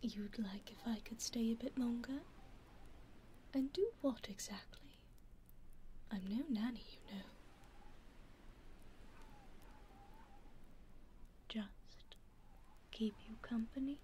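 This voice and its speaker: Woman acting a whispering, slow British vampire lady. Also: on the high side, slight background noise, close to an everyday voice. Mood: coy, aloof. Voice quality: breathy whisper. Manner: hushed, unhurried, intimate. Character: centuries-old vampire lady, aloof yet quietly caring. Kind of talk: ASMR roleplay, whispered. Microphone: close binaural microphone.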